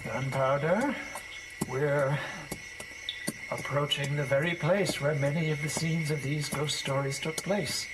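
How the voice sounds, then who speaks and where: A man's voice: a little high, fairly even intonation, a little slow, background noise, lightly acted. An actor playing a timid, jittery, superstitious man riding at night in a theatrical audio drama, muttering hesitantly to himself. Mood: wary. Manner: hesitant muttering to himself, breaking into nervous whispers and exclamations. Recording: distant voice under loud music.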